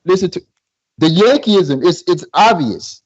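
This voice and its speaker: A man's voice: high voice, lively intonation, a little slow, darkish tone, slightly rough, no room sound, muffled recording. A man arguing combatively in a heated online debate, repeating himself and interrupting. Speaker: debate participant.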